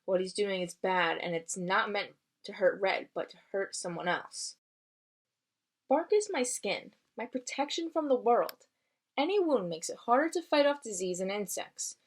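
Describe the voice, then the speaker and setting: A woman with a medium-pitched voice gives a storybook read-aloud. Her delivery is expressive, and she sounds teacherly, putting things simply as if for young listeners. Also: moderate pace.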